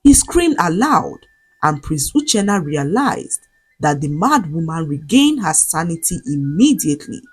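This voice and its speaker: Husky, lively Nigerian woman storyteller. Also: sits low, unhurried, faint music behind. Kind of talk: scripted folktale narration.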